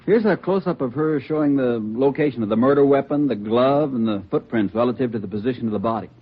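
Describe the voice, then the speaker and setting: Husky, wry crime lab man played by an actor. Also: medium pitch, fast, natural voice. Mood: matter-of-fact. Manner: wry, businesslike. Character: male crime lab technician, wry, brisk, matter-of-fact. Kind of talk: 1950s radio police drama acting. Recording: muffled old radio recording.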